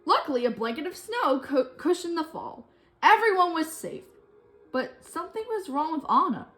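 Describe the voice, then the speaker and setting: A woman's voice: very high voice, normal speed. A woman reading a children's story aloud expressively, acting out the characters' dialogue as she goes. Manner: animated, acts out dialogue. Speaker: storybook reader.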